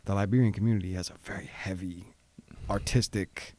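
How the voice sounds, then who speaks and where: A man's voice: sits low, fairly even intonation, fairly quick, darkish tone, dry recording. A man hosting a daily interview show, asking curious, rambling questions full of restarts. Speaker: show host.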